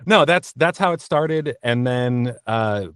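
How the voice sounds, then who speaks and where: A man giving casual, conversational interview answers as a voice actor guest, often halting and correcting himself as he tells his story. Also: medium pitch, animated, a bit bright, clean tone, dry recording, studio quality.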